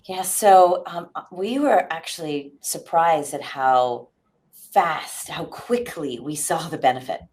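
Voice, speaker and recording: A woman's voice: low-pitched, slow pace, a little mellow. A woman speaking as an executive guest in an interview, explaining conversationally and often checking in with the listener.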